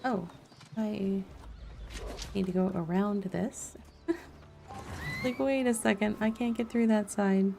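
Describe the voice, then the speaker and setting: A woman's voice: medium pitch, slow, creaky, long pauses, background noise. A woman streamer giving casual live gameplay commentary, chatty and thinking aloud as she goes. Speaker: game streamer.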